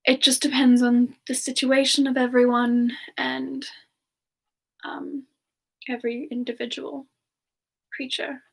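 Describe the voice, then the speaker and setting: A woman playing a playful, affectionate and coy female demon siren, speaking softly and hesitantly in a semi-ASMR question-and-answer style. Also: sits high, level tone, unhurried, gravelly, long pauses, slightly rough, exaggerated voice. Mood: musing. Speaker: host answering audience questions in character.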